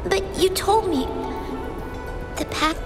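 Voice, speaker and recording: Game cutscene: earnest young female apprentice; high voice, unhurried, pleading. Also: roomy sound, in a large hall. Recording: game music underneath.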